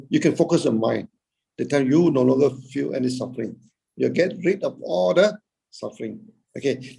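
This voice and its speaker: A man teaching Buddhism over a video call, in a halting way, filling gaps with "okay" and "uh" and answering his own questions. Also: fairly high pitch, creaky, warm tone, slightly rough. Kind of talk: dharma talk.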